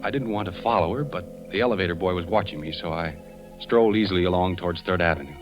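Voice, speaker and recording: An American actor playing an uneasy, suspicious husband and investigator who narrates hesitantly and broodingly in 1940s radio suspense style. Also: male voice, deep voice, quick pace, music in the background, close to an everyday voice. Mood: hesitant, doubtful. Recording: muffled old radio recording, musical underscore.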